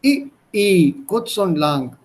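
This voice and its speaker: A man's voice: high voice, big pitch swings, takes it slowly. A man with an Indian accent teaching an online language lesson in a didactic way, often repeating and demonstrating points.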